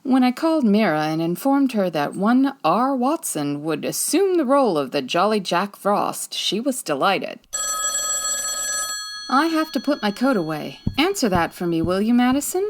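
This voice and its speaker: A woman playing a quick-witted, breezy female private detective with a wry, commanding manner in a 1940s-style radio comedy. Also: mid-range voice, sing-song, background noise. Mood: breezy, confident.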